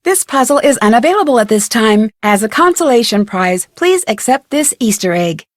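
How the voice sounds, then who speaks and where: A woman's voice: fairly high pitch, sing-song, normal speed, no room sound, clean studio sound. A woman playing an inquisitive, polite teenage girl detective in an adventure game, with earnest scripted delivery. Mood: matter-of-fact.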